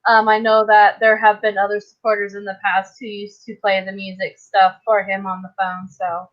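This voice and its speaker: A woman's voice: mid-range voice, level tone, moderate pace. A woman interviewing casually and a little tentatively, heard over dull lo-fi audio.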